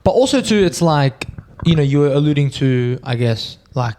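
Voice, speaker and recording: A man's voice: fairly high pitch, lively intonation, normal speed, warm tone. A young man co-hosting a podcast, chatting casually and filling his talk with "like" and "bro". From Australia. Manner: laid-back, heavy use of "like" and "bro". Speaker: podcast co-host. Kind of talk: casual chat.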